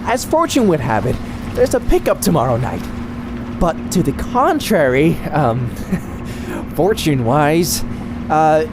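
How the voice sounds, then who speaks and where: A man voicing a skinny, excitable young cartoon bootlegger who talks in a theatrical, wordy, rambling way. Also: fairly high pitch, slow pace, long pauses. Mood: nervous, pleading. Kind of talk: animated comic dub acting. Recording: faint background noise.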